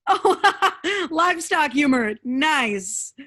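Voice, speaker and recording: A woman playing a wistful, reserved, gently wry young woman in a staged comedy reading. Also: sits high, lively intonation, very slow, exaggerated voice. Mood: wry, awkward. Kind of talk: staged reading of a comic play.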